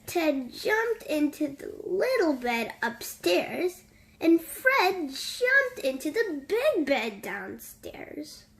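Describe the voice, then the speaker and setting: A woman with a high voice reads a children's bedtime story very slowly. Her pitch swoops widely as she draws out words in an animated, sing-song style for little listeners. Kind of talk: slow, expressive bedtime story read-aloud.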